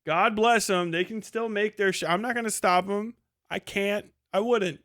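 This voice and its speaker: High-pitched, clear-voiced male streamer. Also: moderate pace, fairly bright tone. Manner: heavily profane, exasperated, incredulous. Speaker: livestream host. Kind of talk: casual livestream commentary.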